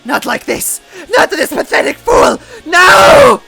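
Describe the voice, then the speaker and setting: A man's voice: very high voice, big pitch swings, pushed and bright, raised voice, pitched above the performer's own voice, heavily acted. A man voicing an evil old witch, a defiant sore loser, screechy and hammy, in a story reading. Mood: desperate rage. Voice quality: screechy, gravelly. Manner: hammy, sputtering, drawn-out outrage.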